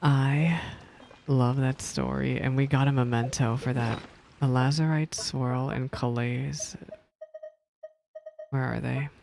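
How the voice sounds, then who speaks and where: A man's voice: medium pitch, fairly even intonation, slow pace, gravelly, pauses often, darkish tone, a little hiss or hum. A man giving casual live reaction commentary on a game as a streamer, in a gushing, enthusiastic manner.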